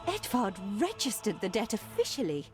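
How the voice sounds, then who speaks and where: An actress playing a determined, loyal young gentlewoman who gives advice in a video game, earnest and composed. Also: on the high side, huge pitch swings, normal speed, soft background music. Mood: practical, determined. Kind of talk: video game character acting.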